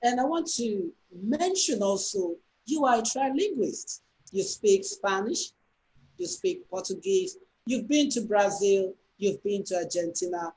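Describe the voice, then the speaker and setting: A man's voice: sits high, lively intonation, measured pace, a little mellow, slight background noise, poor audio. Enthusiastic male host. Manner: enthusiastic, complimentary. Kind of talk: interview host.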